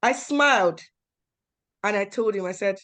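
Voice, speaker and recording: A young adult woman from Nigeria with a Nigerian accent, telling personal stories at a talk in an animated way and acting out the dialogue. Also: medium pitch, measured pace. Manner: animated, acts out quoted dialogue with emphatic repetition. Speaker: panel speaker.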